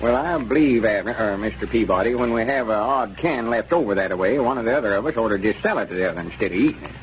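An actor playing a stubborn old storekeeper with an in a folksy old radio comedy, deliberate and grumbling. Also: male voice, on the low side, lively intonation, lightly acted. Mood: mildly exasperated. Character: stubborn old country storekeeper man, exasperated but patient. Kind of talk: 1930s-40s radio comedy acting. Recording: muffled, noisy old radio recording.

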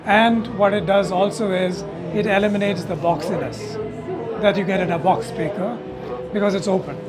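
A man in his sixties explaining technical details in an interview, in a halting way, often checking with "okay". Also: sits high, fairly even intonation, over loud music, over a noisy crowd. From India. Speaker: loudspeaker company owner and designer, interviewee. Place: hotel corridor at an audio show. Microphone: lapel mic.

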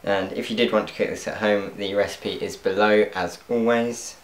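Young man, low voice, chatty and self-mocking, echoey kitchen, faint clatter of pans. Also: steady tone, normal speed, slight background noise. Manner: self-deprecating, chatty, laughs at his own mishaps. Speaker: cooking vlogger. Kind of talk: casual cooking commentary. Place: home kitchen.

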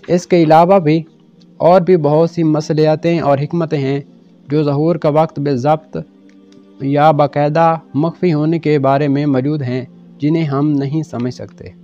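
A man reading a scripted religious narration in a formal, measured way with solemn pauses. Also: fairly high pitch, a little mellow. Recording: dull lo-fi voice-over with music behind. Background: background music.

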